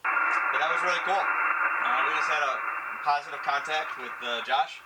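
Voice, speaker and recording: A man working as an amateur radio operator, talking casually and haltingly in radio procedure words and callsigns. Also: on the high side. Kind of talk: casual ham radio contact with on-camera commentary. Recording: loud radio static and noise. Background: radio receiver noise and static.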